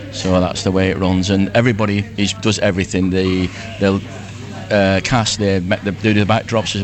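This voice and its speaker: Older man in his sixties, Lancashire accent, fairly deep voice, chatty and effusive, casual interview, crowd chatter around him. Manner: chatty, effusive, repeats himself. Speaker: interview guest, amateur pantomime performer. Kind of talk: casual live radio interview.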